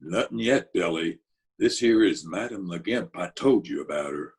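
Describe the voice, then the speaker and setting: An actor playing a gruff, commanding gangster boss, blunt and bossy, in old-time radio comedy style. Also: male voice, deep voice, lively intonation. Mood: gruff, familiar. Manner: blunt, bossy, deliberate slangy talk.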